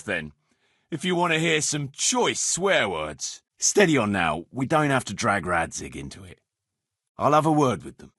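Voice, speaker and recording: A man's voice: on the high side, husky, no echo, studio quality, full character voice. A man playing a roguish, world-weary medieval mercenary captain in a video game, firm but fond, speaking bluntly and colloquially. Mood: irritated, blunt. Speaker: game character, band leader. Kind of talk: video game dialogue acting.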